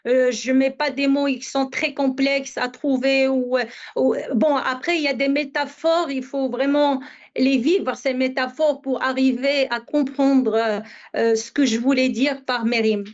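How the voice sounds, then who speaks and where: A woman in her fifties from Morocco, a poet answering interview questions hesitantly, with many pauses and fillers. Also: sits high, fairly even intonation, highs cut off. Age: 50s. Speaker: poet guest.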